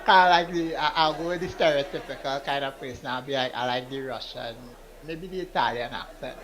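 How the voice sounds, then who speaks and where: A man's voice: medium pitch, brisk, a crowd in the background, rough recording. A young man co-hosting a podcast, telling stories with lots of laughter and quoted voices. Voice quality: laughs often, storytelling, quotes people in put-on lines. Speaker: podcast co-host. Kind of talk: casual chat.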